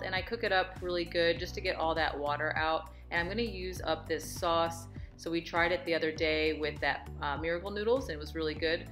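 A woman's voice: lowish voice, fairly bright tone. A woman in her thirties vlogging at home, talking through her workouts and meal prep in an upbeat, encouraging, chatty way. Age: 30s. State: out of breath after a workout. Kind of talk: casual vlog narration. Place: home living room and kitchen.

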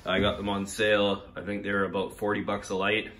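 A man's voice: fairly low pitch, monotone, fairly quick, fairly bright tone. A man casually walking through his shipping container build, rambling with lots of fillers and restarts, filmed on a handheld phone inside the container. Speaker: DIY video maker. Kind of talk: casual walkthrough vlog. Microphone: phone camera held in hand.

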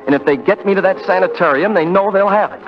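A man's voice: high-pitched, quick pace, over music, natural voice. An actor playing a bitter, self-pitying, paranoid young man in a 1940s radio melodrama, sarcastic and repeating himself. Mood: paranoid, agitated. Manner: sarcastic, muttering repeats, dramatic. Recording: dull vintage 1940s radio recording.

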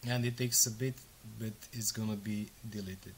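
A man in his thirties, a native Romanian speaker, casually walking through a software tutorial step by step with frequent ums and uhs. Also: fairly low pitch, fairly even intonation, moderate pace, slight background noise. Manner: casual, explains step by step with fillers. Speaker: software demo presenter. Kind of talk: screen-recorded tutorial walkthrough.

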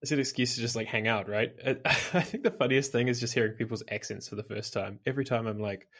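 A man's voice: mid-range voice, fast talker, a bit bright. A man with a New Zealand accent, a podcast guest chatting casually on a call, laughing often and saying "like" a lot. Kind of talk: casual interview chat.

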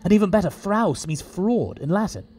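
A man playing a quick-witted, eccentric time traveller in an audio drama, wry and explanatory. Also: sits high, very animated, darkish tone. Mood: wry, thinking aloud.

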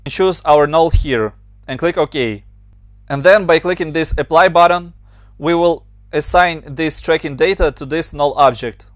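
A man's voice: on the high side, dry recording. Ukrainian-accented man, dry close voice. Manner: plain, step-by-step instructions with slightly non-native grammar. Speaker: motion designer and tutorial maker. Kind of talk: screen-recorded software tutorial.

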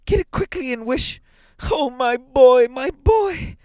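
A man's voice: high voice, steady tone, moderate pace, a little mellow, slightly rough, no echo, voice pushed up. A man voicing a frantic, grieving old woman, feverish and desperate, in a dramatic storybook reading. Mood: triumphant, desperate. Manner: wild, hysterical, breathless crying out.

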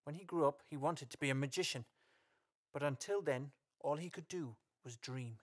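A man's voice: on the high side, normal speed. A man narrating a story in a scripted radio play.

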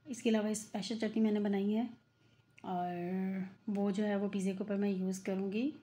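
A woman's voice: mid-range voice, flat delivery, normal speed, warm tone. A middle-aged woman, giving a chatty, filler-heavy voice-over for her home cooking video. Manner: chatty, repetitive, many fillers. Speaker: cooking channel host. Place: home kitchen.

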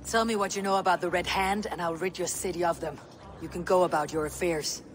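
An actress playing a blunt, resolute Viking warrior woman in a video game, speaking in measured phrases. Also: on the low side, fairly even intonation, slight background noise, close to an everyday voice. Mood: firm, insistent. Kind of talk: video game cutscene acting.

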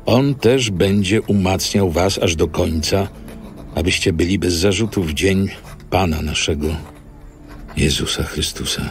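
An actor playing a grave apostle blessing believers, solemn and gravelly, in an audio Bible drama. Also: male voice, low voice, faint music behind. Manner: solemn, deliberate.

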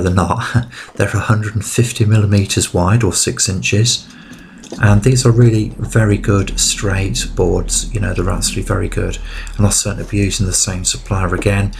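A man's voice: lowish voice, creaky, darkish tone, slight background noise. A man giving an informal, conversational how-to narration as a DIY video host, explaining each step directly to viewers.

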